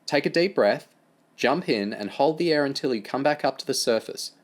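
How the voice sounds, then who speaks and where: A man voicing a kind, patient young female swimming teacher who talks gently and reassuringly. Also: mid-range voice, animated, moderate pace, pitched above the performer's own voice, close to an everyday voice. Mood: encouraging.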